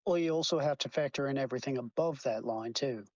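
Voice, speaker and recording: A man's voice: medium pitch, quick pace, darkish tone, dry recording, dull audio. A man in an online voice chat argument, stammering and restarting as he defends himself. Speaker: debate participant defending himself.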